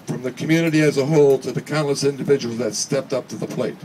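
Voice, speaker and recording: A man reading a prepared speech at a charity event in an earnest, measured way, occasionally stumbling over words. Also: medium pitch, fairly even intonation, darkish tone. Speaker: speaker reading another person's speech. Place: charity event finish line, before a gathering. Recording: distant PA speech with background noise.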